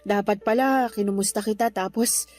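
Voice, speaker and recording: A woman's voice: mid-range voice, steady tone, moderate pace, a little mellow, lightly acted. A woman acting in a Filipino radio drama as a sick woman who jokes wryly with her best friend, with a Filipino accent. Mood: teasing, bittersweet. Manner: teasing, wry.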